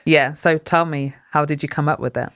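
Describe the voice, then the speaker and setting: A woman hosts a podcast interview with a smooth, clear voice. She asks her questions with enthusiasm and keeps affirming her guest. The sound is dry and close. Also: deep voice, steady tone, brisk, fairly bright tone, dry recording. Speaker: podcast host. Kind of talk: interview chat.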